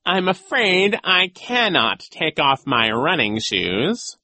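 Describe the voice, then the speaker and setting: A man's voice: fairly high pitch, very animated, bright, forceful tone, dry recording, pitched above the performer's own voice, full character voice. A man voicing a sly, gloating storybook villain disguised as a gym teacher, drawing out his words theatrically. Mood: sly, feigned politeness. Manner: slow, drawn-out, theatrical delivery.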